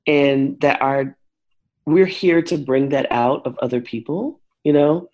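A woman in her forties with a deep voice, talking frankly as an interview guest who works with couples. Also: unhurried. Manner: frank and expressive, switches into lively quoted voices. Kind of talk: candid conversational interview.

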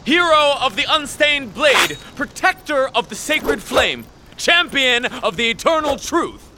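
A man's voice: very high voice, big pitch swings, measured pace, sharp tone, a little hiss or hum, full character voice. A man playing a proud, suspicious young prince in a fiction podcast, pompous, curt and commanding, speaking formally. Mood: boastful.

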